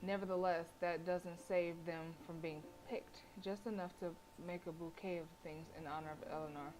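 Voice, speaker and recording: A woman game streamer reading visual novel narration aloud, expressive, with casual blunt reactions. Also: lowish voice, moderate pace, low-quality recording.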